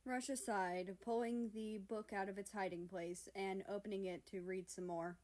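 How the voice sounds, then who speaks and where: A woman's voice: medium pitch, fairly even intonation, moderate pace, darkish tone. A woman narrating a scripted story as its reader. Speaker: fan fiction reader, narrator.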